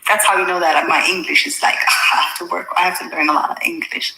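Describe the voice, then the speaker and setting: A woman's voice: fairly low pitch, rapid-fire, creaky, noisy background. A woman from Ethiopia with an Ethiopian accent and Amharic as her first language, chatting casually to her livestream viewers and thinking aloud as she goes. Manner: informal, thinking aloud, addressing viewers with endearments. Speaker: social media livestreamer. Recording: roomy, echoey sound.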